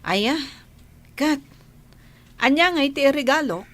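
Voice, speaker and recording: A woman's voice: high-pitched, animated, takes it slowly. A woman playing a weary, self-sacrificing migrant worker in a radio drama, with a Filipino accent and a plaintive, yielding manner. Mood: resigned.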